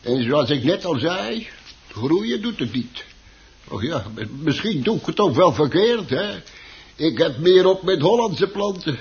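A muffled old radio play recording carries a male plant seller with a slightly high voice. He is hesitant and chatty, repeats his words and grumbles as he goes. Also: very animated, measured pace. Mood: earnest. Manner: hesitant, stammering, repeating himself. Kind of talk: Dutch radio play acting.

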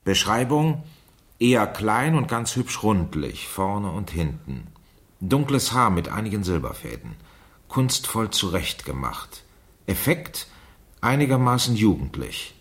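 An actor playing a wry, deliberate investigator in a German radio crime drama. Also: male voice, lowish voice, very animated. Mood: reading, dry. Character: wry investigator reading aloud a girl's diary.

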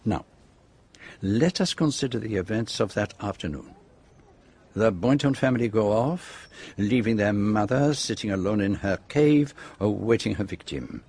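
An actor in a radio drama playing a methodical male detective who sets out a case with deliberate precision and dramatic pauses. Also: fairly low pitch, expressive, a little mellow, breathy edge. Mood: measured, explanatory. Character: methodical, theatrical male detective revealing a murder.

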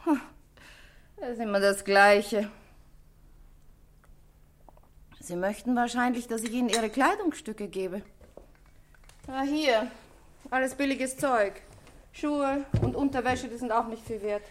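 A world-weary businesswoman, played by a woman in a radio play, with a somewhat high voice. She talks with dry indifference and sardonic disdain. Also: measured pace, pauses often, natural voice. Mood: weary, dismissive.

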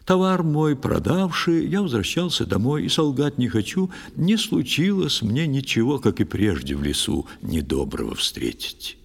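An actor playing a sorrowful, pious old fisherman who tells his tale in expressive verse in an audio play. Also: medium pitch, moderate pace, gravelly, few pauses, exaggerated voice. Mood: warm recollection, troubled. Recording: long studio reverb over music.

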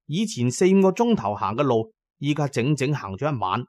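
A man's voice: medium pitch, big pitch swings, a little fast, a little mellow, no room sound, studio quality. A man narrating a scripted first-person story in a dramatic storytelling manner. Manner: dramatic storytelling, voicing characters' lines.

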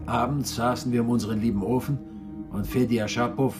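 An actor playing a resigned prisoner who narrates a radio play in a sober, measured way. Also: male voice, mid-range voice, steady tone, loud music behind the voice, lightly acted.

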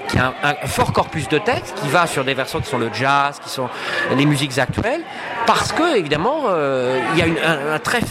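A man's voice: fairly high pitch, very animated, fairly quick, heavy background noise. A man speaking in a radio interview about culture, hesitant, with many fillers and restarts. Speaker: cultural attaché at an embassy.

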